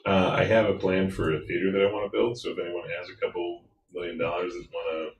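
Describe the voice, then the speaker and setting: A man's voice: sits low, flat delivery, quick pace, roomy sound, far from the mic, a little hiss or hum, low-quality recording. A man with an American accent, a guest on a talk show, bantering casually.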